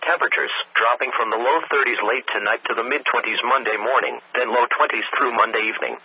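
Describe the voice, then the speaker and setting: A man's voice: medium pitch, fairly even intonation, husky. Tinny synthetic male weather radio announcer. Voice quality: synthetic text-to-speech voice. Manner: measured, impersonal, segmented phrasing. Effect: weather radio broadcast. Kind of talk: scripted weather warning bulletin.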